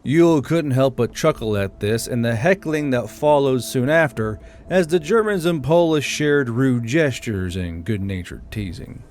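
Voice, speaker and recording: A man's voice: mid-range voice, expressive, a little slow, few pauses, darkish tone. A man reading scripted military fiction as an audiobook narrator.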